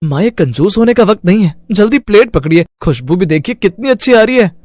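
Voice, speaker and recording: A man's voice: high voice, lively intonation, fairly quick, darkish tone, dry recording, clean studio sound. A man voicing a cheeky young brother-in-law in a cartoon story, playful and wheedling. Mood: urging.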